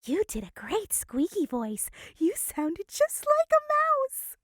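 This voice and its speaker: A woman's voice: extremely high pitch, wildly swooping pitch, slow, a bit bright. A woman narrating and co-hosting a playful children's reading lesson. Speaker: narrator and co-host.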